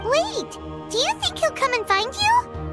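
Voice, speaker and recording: Tiny, squeaky, excitable fairy companion. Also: female voice, a little slow, heavily acted. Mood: excited. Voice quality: squeaky, childlike. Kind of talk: video game voice acting. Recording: studio voice over background music.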